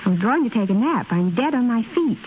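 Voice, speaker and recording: Exasperated young gal played by an actress, high swooping voice, vintage radio ad, muffled recording. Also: female voice, animated, a little fast, slight background noise, close to an everyday voice. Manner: exasperated, complaining. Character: impatient, peevish young woman with a date. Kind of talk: 1930s radio advert dialogue.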